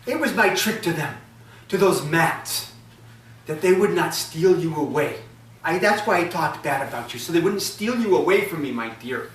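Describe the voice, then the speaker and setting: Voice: mid-range voice, expressive, moderate pace, natural voice. A storyteller voicing a sly young trickster man who mocks and pleads in a raised voice, heard in an echoey room from a distance. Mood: pleading. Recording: echoey room, from far off, faint ambience.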